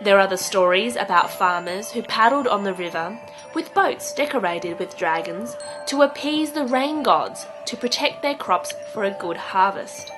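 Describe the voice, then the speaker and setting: A woman's voice: medium pitch, animated, normal speed. Woman narrating a short documentary over music.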